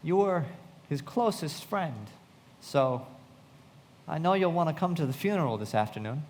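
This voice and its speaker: A man's voice: on the high side, expressive, moderate pace, darkish tone, a little hiss or hum, lightly acted. An actor playing an earnest, disillusioned young man who narrates a radio play. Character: earnest, disillusioned young man recalling his friend's death. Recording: reverberant hall.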